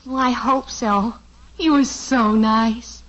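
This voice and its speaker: A woman's voice: fairly high pitch, fairly even intonation, slow pace, fairly bright tone. An actress playing a flustered, lovestruck teenage daughter in a 1950s radio sitcom, girlish and fretful. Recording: dull old radio broadcast recording.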